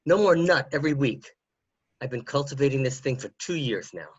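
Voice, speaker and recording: Schemer, male actor: insistent, animated, slightly husky, lo-fi sound. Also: mid-range voice, fairly quick. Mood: urgent, pleading. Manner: pleading, insistent. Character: Marty, excitable male schemer pitching a big score. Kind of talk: film script read in radio-play form. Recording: dull lo-fi microphone.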